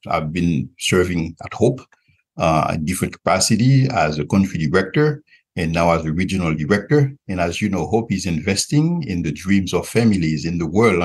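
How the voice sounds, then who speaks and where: Very low, husky man with a Haitian accent. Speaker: podcast guest, regional director and visiting professor. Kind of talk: conversational interview answers.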